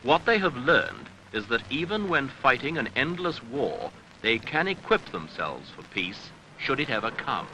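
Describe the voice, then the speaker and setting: A man reading a scripted documentary newsreel narration in a measured, grave, rhetorical manner, on an archival seventies soundtrack. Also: mid-range voice, big pitch swings, bright, forceful tone, slight background noise, low-quality recording.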